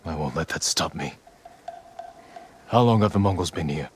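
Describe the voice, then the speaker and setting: An actor playing a stern, determined samurai in a video game, speaking tersely and resolutely over background ambience. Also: male voice, extremely low pitch, expressive, normal speed, a little hiss or hum. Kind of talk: dramatic video game cutscene acting.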